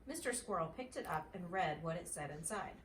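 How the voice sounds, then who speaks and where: A woman reads a children's story aloud in an expressive, lively storytelling style. Her pitch moves around freely. The recording is roomy and a bit distant, with faint noise. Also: a little fast. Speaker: mother reading a storybook aloud.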